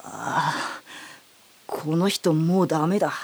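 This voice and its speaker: A woman playing a brash, androgynous young youkai child with rough, slangy speech in an audio drama. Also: sits low, takes it slowly, heavily acted. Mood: exasperated.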